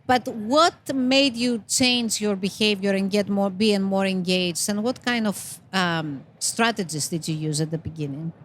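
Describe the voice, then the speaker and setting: A woman's voice: mid-range voice, measured pace, hardly any pauses, slight background noise. A woman co-hosting a podcast, asking curious, probing questions in a casual interview. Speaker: podcast co-host.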